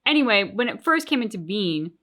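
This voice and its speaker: A woman's voice: medium pitch, sing-song, fairly quick, sharp tone. Woman chatting casually in game commentary. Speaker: reaction show co-host.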